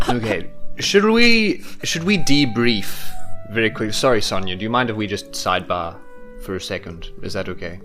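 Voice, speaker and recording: A man's voice: on the low side, expressive, moderate pace, over music. A man playing a tabletop game, joking through casual improvised roleplay banter.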